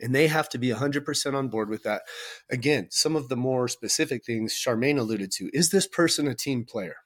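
A man with a loud voice co-hosting a podcast, talking in an emphatic, blunt way and swearing for effect. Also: medium pitch, brisk. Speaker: podcast co-host. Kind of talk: casual podcast discussion.

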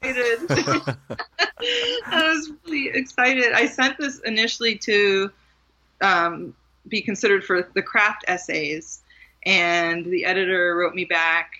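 A woman's voice: mid-range voice, steady tone, takes it slowly, bright, forceful tone, low-quality recording. A woman from Maryland, an author and memoir teacher, chatting as an interview guest and laughing often. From Maryland, USA. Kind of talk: casual conversational interview.

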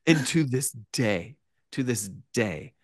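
A man telling an animated anecdote as a podcast guest, excitable and self-deprecating, with casual swearing. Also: mid-range voice, slow, no room sound, studio quality. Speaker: podcast guest, writer and storyteller.

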